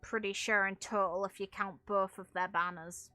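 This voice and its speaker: A woman's voice: mid-range voice, fairly even intonation, moderate pace, bright, forceful tone, no echo. A woman chatting casually on a livestream as a game streamer, giving her opinions in an emphatic, opinionated way. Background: music.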